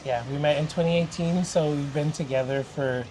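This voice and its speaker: A man's voice: fairly high pitch, fairly even intonation, a little fast, a little mellow, slight background noise, rough recording. Chatty young Canadian man. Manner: open and chatty, laughs easily. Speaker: interview guest. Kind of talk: casual chat.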